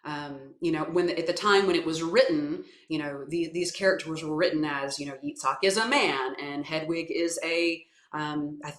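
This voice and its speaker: A woman from South Carolina with a naturally low voice, giving thoughtful, hesitant interview answers full of fillers and restarts. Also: steady tone, moderate pace, hardly any pauses. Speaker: guest, voice coach and actor. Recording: echoey room.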